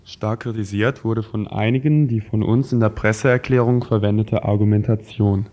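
A man reads a written statement aloud. His voice is low and very mellow, and the recording is dull and lo-fi. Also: steady tone, normal speed. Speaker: reader of a quoted document.

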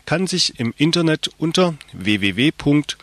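A man's voice: on the high side, big pitch swings, moderate pace, fairly bright tone, dry recording. A man presenting a radio magazine, reading scripted announcements.

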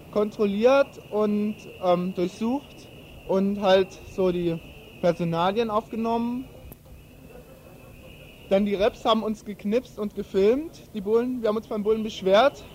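Male witness, distinctly high voice, halting unscripted account, faintly noisy recording. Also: darkish tone. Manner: halting, groping for words. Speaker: eyewitness protester. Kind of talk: spontaneous eyewitness account.

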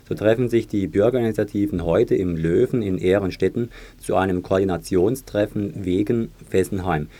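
A man presenting a radio news report in a measured, matter-of-fact way. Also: deep voice, warm tone.

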